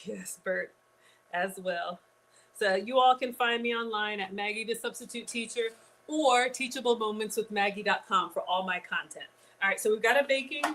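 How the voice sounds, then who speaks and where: A woman's voice: on the high side, pushed and bright, a little hiss or hum, low-quality recording. A woman hosting a live-streamed cooking class in a home kitchen, chatting straight to her viewers with casual asides. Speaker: cooking show host.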